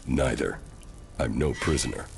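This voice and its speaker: A man playing a stoic, hardened commander in a post-apocalyptic video game, gravelly, terse and deadpan. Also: extremely low pitch, unhurried, noisy background, heavily acted.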